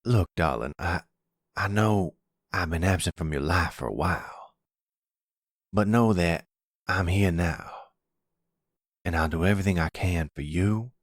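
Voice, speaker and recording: A man playing an older father and mob boss who is gentle, regretful and reassuring, in a measured, soft-spoken ASMR roleplay style. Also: low-pitched, no room sound, clean studio sound, heavily acted. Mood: regretful, tender. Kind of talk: intimate ASMR roleplay acting.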